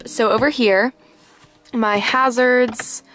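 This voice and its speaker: A woman's voice: fairly high pitch, fairly even intonation, takes it slowly, a bit bright, faint music behind. A young woman giving a casual, bubbly tour of her car, filming with a handheld phone while sitting in the parked car. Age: young adult. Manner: chatty, bubbly, giggly. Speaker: vlogger. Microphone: handheld phone camera.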